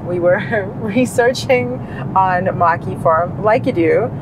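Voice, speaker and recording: Female road-trip vlogger, thirties, mid-pitched, talking casually inside a noisy moving car. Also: slow. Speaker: vlog co-host, passenger. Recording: steady road and cabin noise.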